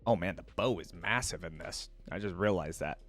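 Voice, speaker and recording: A man's voice: deep voice, normal speed, a bit bright, faint music behind. A man giving casual reaction commentary, thinking aloud in informal, slangy language. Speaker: reaction video creator.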